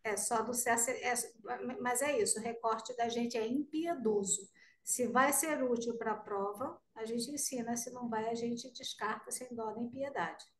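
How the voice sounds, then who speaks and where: A woman's voice: on the high side, steady tone, measured pace. A Brazilian woman guest teacher in a live online class, answering student questions in a friendly, direct way. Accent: Brazilian Portuguese. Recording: roomy, distant-sounding mic.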